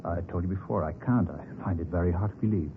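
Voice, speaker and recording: An actor playing a gentle, trusting but stubborn elderly businessman in an old radio drama, speaking mildly and hesitantly and repeating himself. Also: deep voice, quick pace. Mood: troubled, reluctant. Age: older. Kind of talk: vintage radio drama acting. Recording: tinny, noisy vintage radio recording.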